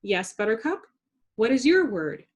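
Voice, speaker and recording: An encouraging, patient woman kindergarten teacher from a storybook, acted by a woman reader. She questions her class kindly, with very lively intonation. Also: a little high, a little slow, a little hiss or hum.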